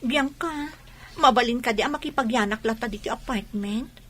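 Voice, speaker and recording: Woman playing wary, fairly high-voiced young roommate. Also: normal speed, fairly bright tone, a little hiss or hum, close to an everyday voice. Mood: questioning. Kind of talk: comedy radio drama acting.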